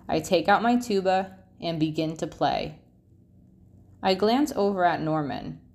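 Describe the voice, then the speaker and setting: A woman reading a children's picture book aloud expressively, with drawn-out phrase endings. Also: fairly low pitch, darkish tone.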